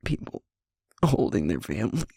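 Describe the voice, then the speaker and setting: A man playing a guilt-ridden young werewolf boyfriend in an intimate audio roleplay, speaking haltingly and rawly in a husky, creaky, breathy voice. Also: lowish voice, big pitch swings, dark tone, dry recording, clean studio sound, heavily acted. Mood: sorrowful, raw. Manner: halting, emotionally raw, broken-up phrases.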